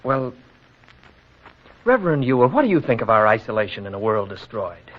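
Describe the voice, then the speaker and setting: A man's voice: mid-range voice, sing-song, normal speed. A man playing a troubled, earnest young townsman with a formal manner in a 1940s radio drama. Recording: muffled, noisy vintage radio recording.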